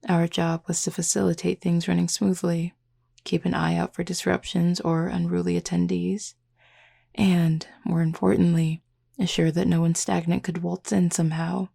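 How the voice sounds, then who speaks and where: A woman playing a flirty, teasing, confident werewolf pack member in an intimate audio roleplay, speaking unhurriedly with a husky, gravelly voice. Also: lowish voice, flat delivery, normal speed, mellow, exaggerated voice. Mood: matter-of-fact.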